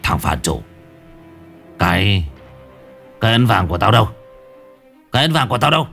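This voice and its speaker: A man's voice: fairly low pitch, big pitch swings, normal speed, gravelly, faint music behind, full character voice. A man doing a furious older village chief. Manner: furious shouting and cursing. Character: enraged older village chief, a husband betrayed.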